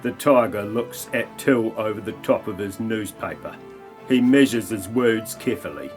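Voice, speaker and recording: A man giving deadpan, mock-grand narration for a comic parody audio play. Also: fairly low pitch, normal speed, soft background music.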